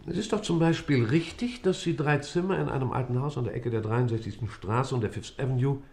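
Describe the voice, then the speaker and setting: A man acts out an aging judge in a German radio play. His voice sits at a middle pitch with a gravelly fry in it. He questions methodically and formally, weighing his phrases and sometimes halting. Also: darkish tone, natural voice. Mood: formal, probing. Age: older. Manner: formal, deliberate, halting at times.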